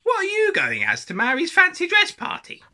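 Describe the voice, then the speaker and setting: A man doing a cheeky schoolboy in a children's storybook reading, with a very high, bright, piercing voice. The lad's tone leaps wildly up and down as he mocks and jeers loudly. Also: huge pitch swings, moderate pace, heavily acted. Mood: teasing. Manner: teasing, loud and high. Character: cheeky mocking schoolboy friend.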